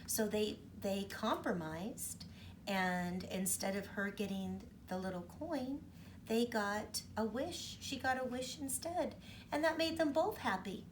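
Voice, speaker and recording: Middle-aged lady reading a kids book real slow and soft, a little faint hiss. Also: mid-range voice. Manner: soft-spoken reading, chatty and cheerful with kids. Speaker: children's storytime host. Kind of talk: reading a picture book aloud to children.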